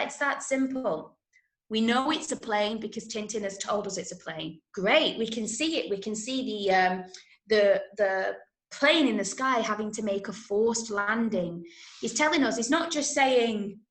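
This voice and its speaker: An English woman leads a drama writing workshop in a fairly high voice. She explains step by step and puts questions to her listeners to check they follow. The room rings clearly around her words. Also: lively intonation, a little slow. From Manchester, England. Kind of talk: teaching a script-writing workshop. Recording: roomy, echoing room sound.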